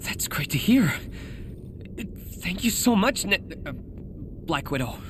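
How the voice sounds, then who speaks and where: Boyish, animated young superhero. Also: male voice, sits high, takes it slowly, heavily acted. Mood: awkward. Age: young adult. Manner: boyish, nervous, hesitant. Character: anxious, earnest young superhero with a double life. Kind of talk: video game cutscene acting. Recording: clear background noise and ambience.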